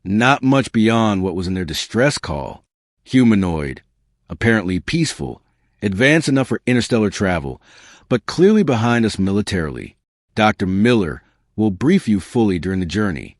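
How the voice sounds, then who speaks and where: Male narrator as stern admiral, formal, grave, science fiction audiobook, low-leaning pitch, expressive inflection. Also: normal speed, dry recording, clean studio sound. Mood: sober. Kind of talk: audiobook character dialogue.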